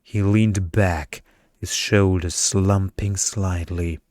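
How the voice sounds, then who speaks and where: Deep, slow male ASMR storyteller. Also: steady tone, a little mellow, no room sound, studio quality. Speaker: story narrator. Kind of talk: scripted ASMR story narration.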